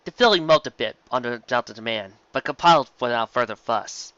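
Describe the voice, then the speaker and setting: A man narrates a story in a bright, forceful voice. He reads like an amateur, occasionally tripping on a word and going back. The recording is very dry and somewhat dull. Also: medium pitch, moderate pace, smooth, no echo. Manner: stumbles and rereads words. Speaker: amateur audiobook reader.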